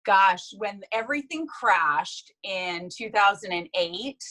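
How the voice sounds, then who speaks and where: A woman in her forties from the United States, a guest telling her life story in a casual, animated interview. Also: mid-range voice, slow pace.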